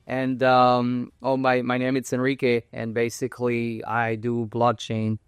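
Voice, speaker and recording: Smooth-voiced halting young man. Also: mid-range voice, monotone, no echo, faint music behind. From Cuba. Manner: halting, restarts phrases often. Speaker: guest. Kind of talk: casual interview talk.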